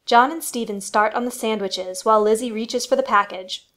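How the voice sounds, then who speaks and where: A woman's voice: on the high side, moderate pace, a bit bright. A woman reading scripted storytelling narration for a family radio play.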